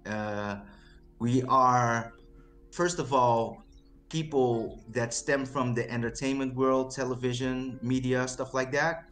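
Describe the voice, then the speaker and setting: A man whose first language is not English, speaking as a guest on a stream with slight Texan traces in his accent, often halting, repeating words and laughing. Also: medium pitch, fairly even intonation, a bit bright, faint music behind. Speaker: crypto project CEO, guest. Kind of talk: casual interview chat.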